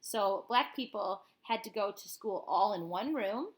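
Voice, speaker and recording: A woman teacher reading a picture book aloud, with expressive, informal, explanatory asides. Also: medium pitch, unhurried.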